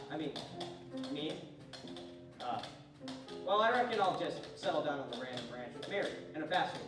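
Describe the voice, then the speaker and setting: A man's voice: fairly high pitch, lightly acted. A man playing a folksy, wily cowboy range detective in a stage Western radio show, speaking in a cowboy drawl with homespun bad grammar. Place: theater stage with distant microphone. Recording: echoey stage recorded from far off, music.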